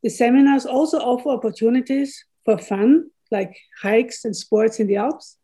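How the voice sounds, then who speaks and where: A woman interviewer reading a prepared introduction and asking a question in a formal, measured way. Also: fairly high pitch, mellow, breathy edge.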